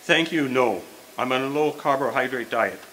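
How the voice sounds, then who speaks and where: An actor playing a pompous, long-winded small-town mayor with formal, wordy speech in an old-style radio comedy. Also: male voice, mid-range voice, moderate pace, a bit bright, natural voice, low-quality recording. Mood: courteous, condescending. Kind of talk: 1940s radio comedy acting, live re-creation.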